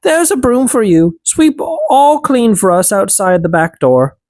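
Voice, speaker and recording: A tiny magical elf from a storybook , acted out by an audiobook reader, speaking in a thin, high voice. The pitch swoops about in a lively way, and the sound is close and dry. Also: a little slow, dry recording. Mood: indignant. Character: three tiny magical elf men, judging and granting gifts.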